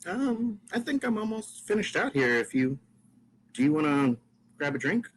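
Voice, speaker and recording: Man reading a woman's role, ex-girlfriend, hesitant, stumbling, lively pitch, unhurried, slightly high. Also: a little hiss or hum. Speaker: podcast co-host reading a part. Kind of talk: amateur table read.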